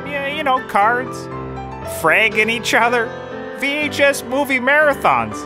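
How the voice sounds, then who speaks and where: An actor playing a dazed, bumbling cheap private detective in a scripted comedy audio drama, rambling in a deadpan way. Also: medium pitch, unhurried, music in the background. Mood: rambling, matter-of-fact.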